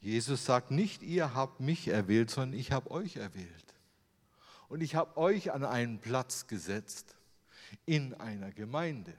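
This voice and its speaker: A man's voice: medium pitch, animated, measured pace. A German man giving a sermon with slides, in a conversational way, full of rhetorical questions. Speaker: preacher. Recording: long hall reverb.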